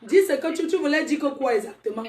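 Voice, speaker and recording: A high-pitched woman talks casually in an echoey room. She interjects in a questioning, challenging way. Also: animated, fast, a little mellow. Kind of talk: casual conversational chat.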